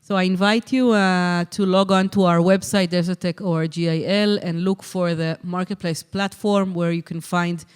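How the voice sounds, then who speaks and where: A conference session convener speaks into a handheld microphone. The voice is smooth and clear at a mid pitch. The delivery is measured, with many ums and uhs between phrases. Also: fairly even intonation. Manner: measured, with frequent um and uh. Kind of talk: conference moderation and answers. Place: conference hall.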